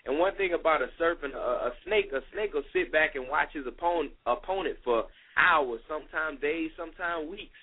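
A husky man in his thirties with a slightly high voice, talking loosely and conversationally as a radio guest. The sound is thin and tinny, like a phone line. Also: normal speed, hardly any pauses. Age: 30s. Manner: relaxed, conversational, many fillers. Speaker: youth minister guest. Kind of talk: casual radio interview talk.

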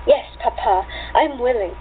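A woman's voice: fairly high pitch, moderate pace, natural voice. A woman playing an eager, brave young seamstress daughter, earnest and dutiful, in an amateur radio play. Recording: tinny phone-like line with hum.

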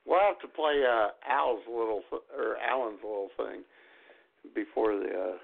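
A man's voice: medium pitch, normal speed, gravelly, slight background noise. A man co-hosting a radio talk show, joking and teasing his co-hosts. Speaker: co-host. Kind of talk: casual radio banter. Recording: phone-like lo-fi line.

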